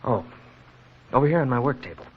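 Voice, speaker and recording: A man playing an earnest, gentle young countryman in a 1940s radio drama. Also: medium pitch, expressive, a little fast, breathy edge. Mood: eager, kindly. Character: lonely, friendly young countryman who makes toys. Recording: muffled, hissy old radio recording.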